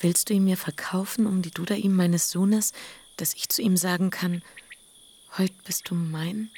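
A woman's voice: on the low side, steady tone, a little slow, mellow. An actress playing Leah, an elder sister and mother, speaking curtly and reproachfully in a radio play. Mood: bitter. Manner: reproachful, curt.

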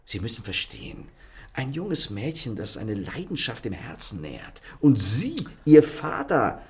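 An actor playing a self-assured, courteous and mocking gentleman thief in a German radio play, with polished theatrical manners. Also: mid-range voice, huge pitch swings, measured pace. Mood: courteous, confident.

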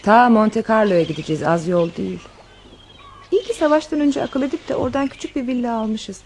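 An actress playing a wealthy, tender countess in love, in a radio drama. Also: female voice, a little high, brisk, a little mellow, a little hiss or hum, natural voice. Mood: relieved, chatty. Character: wealthy countess fleeing revolution, tender and devoted lover.

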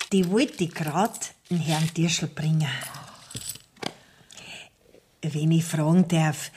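An actress playing a talkative, aggrieved middle-aged sawmill widow in a radio play, speaking Bavarian dialect in a confiding, folksy way. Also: female voice, low voice, darkish tone, slight background noise. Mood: eager, confiding.

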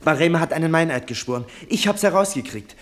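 Earnest, defiant teenage son. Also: male voice, on the high side, animated, fast talker, a bit bright. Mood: defiant, excited. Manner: earnest, insistent, clipped. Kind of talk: mid-century German radio drama acting.

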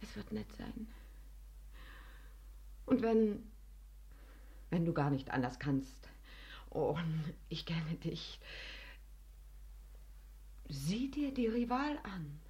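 An actress playing an aging, spurned companion in a German radio play, imploring and weary, with an emotional, halting, often hushed delivery. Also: female voice, fairly low pitch, expressive, full character voice. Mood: coaxing.

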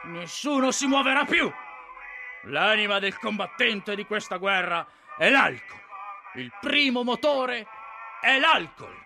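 An actor playing a drunken, bitterly philosophical army officer, declaiming emphatically in a radio drama. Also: male voice, high voice, animated, unhurried, gravelly, pushed and bright, faint music behind, full character voice. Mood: bitter, impassioned. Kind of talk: theatrical radio drama monologue.

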